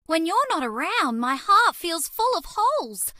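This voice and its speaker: Very high, cute alien mouse girl. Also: sing-song, unhurried, sharp tone, dry recording, studio quality, heavily acted. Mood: tender. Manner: bubbly, earnest, quirky, affectionate. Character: cute, sweet, eager young female alien mouse space traveller. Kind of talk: dating game character voice lines.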